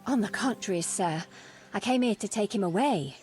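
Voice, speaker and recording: A woman's voice: medium pitch, expressive, normal speed, darkish tone, soft background music, close to an everyday voice. An actress playing a poor medieval peasant mother in a video game, pleading and deferential, with a folksy, indignant streak. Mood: respectful. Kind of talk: video game cutscene acting.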